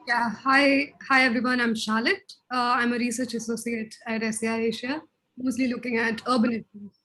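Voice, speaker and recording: A woman researcher giving an online presentation, explaining her method while often clearing a scratchy throat. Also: a little high, flat delivery, normal speed, bright, forceful tone.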